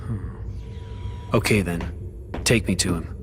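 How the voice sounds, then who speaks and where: An actor playing an earnest, brave young warrior in a video game. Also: male voice, deep voice, takes it slowly, a little hiss or hum. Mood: wary. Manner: earnest, heroic.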